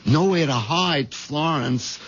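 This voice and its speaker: An actor playing a nervous, guilt-ridden husband with a hesitant, stammering manner in a 1970s radio drama. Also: male voice, fairly high pitch, takes it slowly, a bit bright, slight background noise. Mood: tense, weary. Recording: dull vintage radio broadcast with music.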